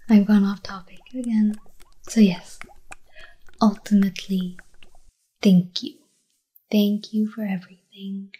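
A woman doing a soft-spoken ASMR chat in her apartment at night, rambling and drifting between a quiet voice and a whisper. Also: medium pitch, little pitch movement, a little slow, pauses often, slightly rough, poor audio. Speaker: ASMR creator.

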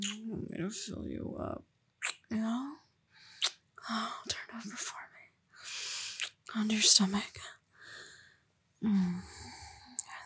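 A woman speaking in a soft, breathy whisper for an intimate audio roleplay, murmuring slowly and coaxingly close to the listener. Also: no room sound. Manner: low murmured coaxing with sighs.

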